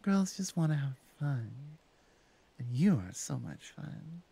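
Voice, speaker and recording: Woman acting a playful orc shaman, low mellow voice. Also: sing-song, slow pace, gravelly, slightly rough, full character voice. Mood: playful, flirtatious. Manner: chatty, playful, hesitant asides. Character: teasing, devoted female orc shaman. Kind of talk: ASMR roleplay, intimate one-sided conversation.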